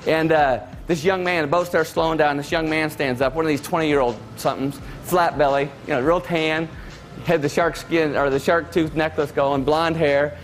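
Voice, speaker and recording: A man in his late forties delivering a motivational keynote, talking in a loose, conversational storyteller's way. His voice sits a touch high for a man, with faint music underneath. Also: fairly even intonation, moderate pace. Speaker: keynote speaker.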